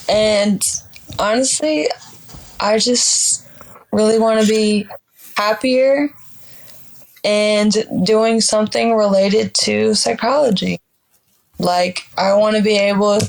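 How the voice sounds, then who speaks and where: A woman's voice: mid-range voice, vocal fry, poor audio. A woman in her twenties, a podcast guest, talking in a reflective, upbeat, casual way with many fillers. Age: 20s. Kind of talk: casual interview chat.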